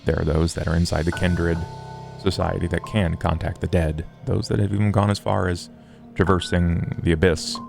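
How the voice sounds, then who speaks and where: A man playing a scholarly, formal vampire in a tabletop game, speaking in measured, wordy phrases over music. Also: little pitch movement. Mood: earnest, explanatory. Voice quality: low, gravelly fry. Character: scholarly, formal, morose male vampire occult theorist. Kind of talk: in-character tabletop roleplay.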